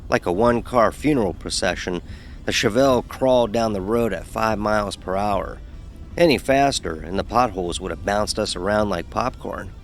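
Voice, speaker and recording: A low-voiced man acting as a young fraternity pledge tells his story in an audio horror drama. He is wry and sardonic, and music plays quietly beneath him. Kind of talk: scripted first-person horror narration with dialogue.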